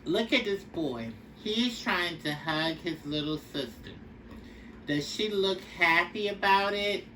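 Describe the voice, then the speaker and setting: Female storybook reader, instructive and very measured, slightly noisy recording. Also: lowish voice, sharp tone. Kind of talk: read-aloud of a children's picture book. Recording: distant mic with faint background noise.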